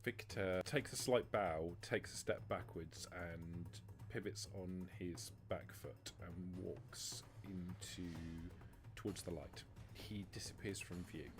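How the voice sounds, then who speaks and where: A man working as a game master, narrating a tabletop roleplaying game in a descriptive, dramatic way with deliberate pauses. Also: low-pitched, soft background music. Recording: noticeable background noise.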